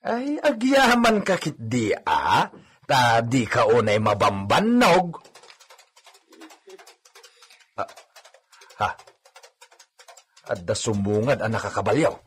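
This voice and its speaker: A man acting an eager, deferential villager serving a rich landowner in an Ilocano comedy radio drama, with an Ilocano accent and an animated, fawning manner. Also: medium pitch, slow pace, pauses often, slightly rough, full character voice. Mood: animated, defensive.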